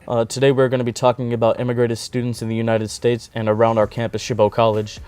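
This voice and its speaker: A man's voice: mid-range voice, monotone, fast, darkish tone, smooth. Upbeat young man hosting a show. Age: young adult. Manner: upbeat, jokey catchphrases. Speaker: host. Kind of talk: college TV interview hosting. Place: small TV studio set.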